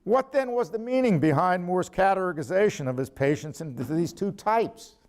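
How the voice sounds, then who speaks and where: An older man, a professor, lecturing from a prepared text in a deliberate, measured way with emphatic pauses, quotations and asides. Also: sits high, expressive, a little mellow. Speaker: ethics professor giving a lecture.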